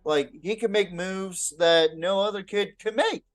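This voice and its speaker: Man, fairly high voice, casual football podcast, blunt, sweary, halting repeats, faint music behind. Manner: blunt, profane. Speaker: sports podcast host. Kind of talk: casual unscripted football talk.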